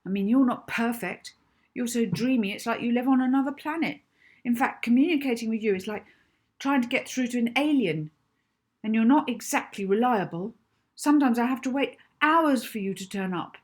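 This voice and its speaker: Furious schoolgirl, played by a woman reader, high and slightly husky, emphatic, defiant, for children's audiobook dialogue. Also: normal speed, a little mellow, natural voice. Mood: snappy, accusing. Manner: heated, emphatic, accusing. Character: furious, hurt young schoolgirl, snappy and defiant.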